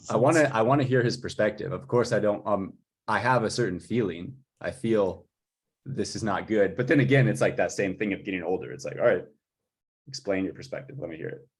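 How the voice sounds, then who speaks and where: A man in an informal chat about music production, tentatively working through his ideas out loud. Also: on the low side, brisk, husky. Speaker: composer for audio drama.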